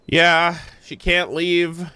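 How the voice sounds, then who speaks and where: A man hosting a gaming video, giving casual, expressive, slangy reaction commentary close to the mic. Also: on the high side, drawn-out words, slight background noise. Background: game music.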